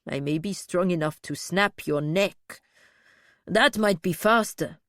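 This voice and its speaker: A woman narrator voicing a slow-witted, grim male captive who speaks bluntly and laboriously. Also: lowish voice, no echo, studio quality, natural voice.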